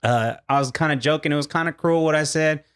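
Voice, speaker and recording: A man's voice: on the high side, fast, a bit bright, smooth. Casual young man telling a story. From Mexico. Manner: loose storytelling, lots of fillers. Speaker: podcast co-host. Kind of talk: casual podcast chat.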